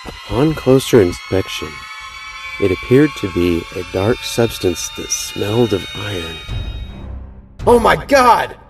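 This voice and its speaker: Male horror podcast narrator, deep voice, suspenseful first-person delivery over music. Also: lively intonation, unhurried. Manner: dramatic, suspenseful storytelling. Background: music behind the voice.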